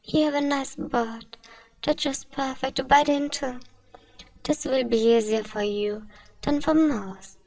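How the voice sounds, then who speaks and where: A genteel female vampire, acted by a woman for an ASMR roleplay, speaks in a soft, fairly high voice with a creaky edge. She coaxes kindly and very unhurriedly, often dropping toward a whisper. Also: unhurried, no echo, recorded from a distance, low-quality recording. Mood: pleased, reassuring. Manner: soothing, coaxing, genteel endearments. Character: kind, genteel, doting female vampire mistress.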